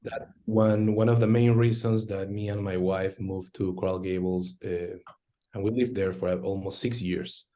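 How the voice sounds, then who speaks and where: Man, low mellow voice, flat delivery, measured friendly guest, video call. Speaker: real estate agent, guest. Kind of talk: casual webinar chat.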